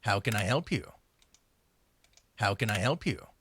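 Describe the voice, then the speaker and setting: A man's voice: fairly low pitch, animated, a little slow, background noise. An actor playing a male merchant in a fantasy video game, speaking short lines to customers. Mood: courteous, businesslike. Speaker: game vendor voice clip.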